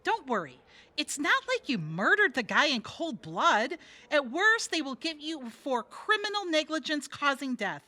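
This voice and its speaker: A woman's voice: sits high, very animated, a little slow, pushed and bright, no echo, full character voice. A woman playing a worldly, sarcastic jailbird in an audio theatre comedy, blunt, wry and mock-sympathetic. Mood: sarcastic, needling. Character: brash, sarcastic, worldly female jailbird needling her cellmates.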